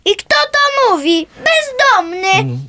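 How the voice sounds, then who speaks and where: An actress playing a sassy, sarcastic, streetwise runaway little girl in a radio play, quick to snap back. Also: very high-pitched, very animated, sharp tone, exaggerated voice. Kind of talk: naturalistic radio drama acting.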